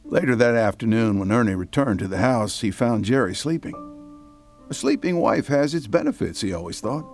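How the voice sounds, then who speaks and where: A man giving measured, wry scripted narration for a radio drama. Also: mid-range voice, lively intonation, darkish tone, faint music behind.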